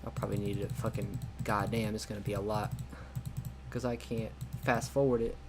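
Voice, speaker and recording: A young man streaming a game, chatting casually and rambling with many restarts, quick to laugh. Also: fairly low pitch, little pitch movement, noisy background. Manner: laughs easily. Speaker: streamer playing a game mod.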